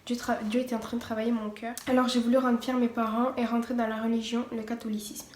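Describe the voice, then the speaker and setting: A woman's voice: fairly high pitch, flat delivery, fast, darkish tone. A teenage girl giving a casual personal testimony, partly read from notes, hesitant with many fillers and restarts. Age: teens. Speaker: vlogger. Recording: echoey room, faint background noise.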